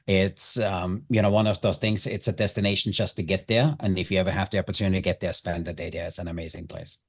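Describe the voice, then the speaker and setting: A man's voice: deep voice, flat delivery, quick pace. A middle-aged man with an Austrian accent, a native German speaker, talking conversationally as an interview guest and telling stories. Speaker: chef and hospitality guest.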